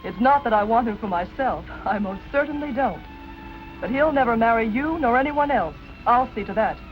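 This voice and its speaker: An actress as a cold, high-voiced vindictive wife. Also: female voice, lively intonation, normal speed, soft background music, natural voice. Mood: cold, threatening. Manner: curt, commanding, coolly sarcastic. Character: cold, vindictive wife back from presumed death. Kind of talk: 1940s film noir acting. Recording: dull vintage film soundtrack.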